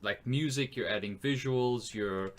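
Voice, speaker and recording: A man's voice: medium pitch, measured pace. A man co-hosting a casual film podcast, thinking aloud in an informal, tentative way. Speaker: podcast co-host.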